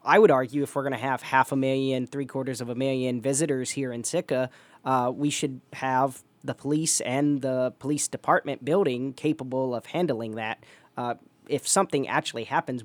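A man's voice: mid-range voice, dry recording. A man in a dry, close recording answering a forum question as a candidate. He talks practically and matter-of-factly, dotted with uhs. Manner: practical, matter-of-fact with fillers. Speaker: local election candidate.

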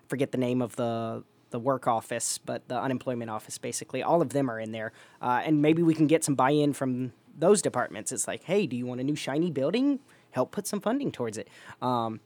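A man's voice: mid-range voice, no echo. A man answering as a candidate at a local forum, practical and matter-of-fact, with frequent uhs.

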